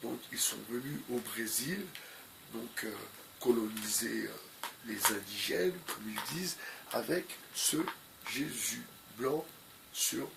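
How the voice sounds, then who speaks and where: A man with a cold talks slowly and haltingly in a gravelly voice, leaning on fillers and looping back on his own phrases. His commentary is unscripted. Clear background noise runs under the recording. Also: mid-range voice. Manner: hesitant, repeats phrases, many fillers. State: has a cold. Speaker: video commentator.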